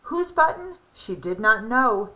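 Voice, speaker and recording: A woman reads a children's story aloud with a bright, fairly high voice. Her delivery is expressive and deliberate, with plenty of rise and fall. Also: rough recording. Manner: animated, emphatic, deliberate. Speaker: storybook reader. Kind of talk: expressive read-aloud of a children's picture book.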